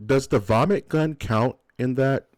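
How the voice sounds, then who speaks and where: A man's voice: mid-range voice, measured pace, a little mellow, dry recording, clean studio sound. A man co-hosting a casual film podcast, talking in a laid-back, jokey way and laughing between phrases. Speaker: podcast co-host. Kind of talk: casual podcast chat about films.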